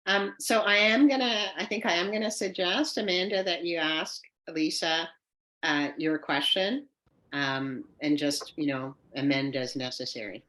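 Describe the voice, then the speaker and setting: A woman with a very low voice hosts the panel, chatting loosely and laughing as she passes questions around. Her first language is Hungarian. She speaks on a video call. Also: steady tone, unhurried, a bit bright, poor audio. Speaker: host. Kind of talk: casual panel hosting.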